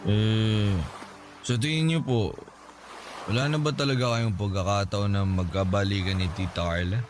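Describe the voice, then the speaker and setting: A man playing a respectful, concerned young nephew, speaking casually and gently in a Filipino radio drama. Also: on the low side, steady tone, moderate pace, over music, close to an everyday voice. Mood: curious, sympathetic. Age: young adult. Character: respectful young nephew, concerned drinking companion of his uncle.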